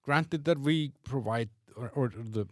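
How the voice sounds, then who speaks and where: A man's voice: medium pitch, a little slow, no echo, studio quality. A man from Iceland, hosting a podcast, who argues in a hesitant, reasoned way and often repeats words. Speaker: podcast host. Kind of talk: casual debate chat.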